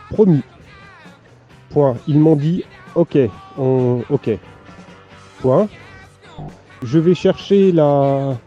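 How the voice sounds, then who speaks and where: A man playing a naive letter-writer who dictates slowly and earnestly, speaking the punctuation aloud. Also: fairly high pitch, warm tone. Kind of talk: improvised comic letter. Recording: slightly muffled, faint music behind.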